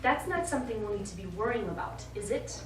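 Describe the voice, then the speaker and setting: An actress playing an imperious, wealthy client in a stage play, speaking in dry, pointed, formal phrases. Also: female voice, medium pitch, expressive, quick pace, lightly acted. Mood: stern, imperious. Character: sharp-tongued, cool, imperious wealthy female client. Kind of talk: live stage play acting. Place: small indoor theater studio. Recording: echoey, noisy stage recording from far off.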